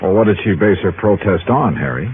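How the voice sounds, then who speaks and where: An actor playing a wry, teasing American insurance investigator in a 1950s radio detective drama. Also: male voice, on the low side, animated, brisk, slight background noise, lightly acted. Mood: teasing, skeptical. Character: wry, breezy male insurance investigator narrating his case. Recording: tinny 1950s radio recording with music.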